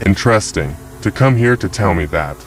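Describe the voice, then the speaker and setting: An actor playing a cold, haughty villainous monarch, measured and imperious, in an anime-style dub. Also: male voice, sits low, animated, slight background noise.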